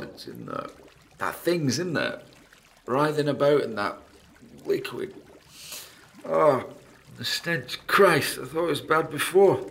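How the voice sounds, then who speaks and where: An actor playing a nervous, driven paranormal investigator who records himself alone in an audio drama, talking haltingly to his listeners in an apprehensive, gravelly voice. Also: medium pitch, full character voice. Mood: alarmed, disgusted.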